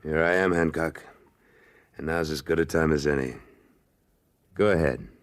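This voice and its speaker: An actor playing a tough, terse, commanding trail boss in an old-time radio Western. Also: male voice, extremely low pitch, normal speed. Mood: cool, firm.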